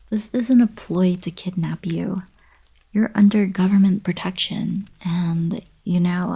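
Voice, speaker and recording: Woman voicing young alien princess, eager and friendly, mellow whispery tone, unhurried, teasing, intimate ASMR roleplay. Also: on the low side, full character voice. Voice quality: soft, breathy, whispery. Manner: casual, coaxing, girlish teasing.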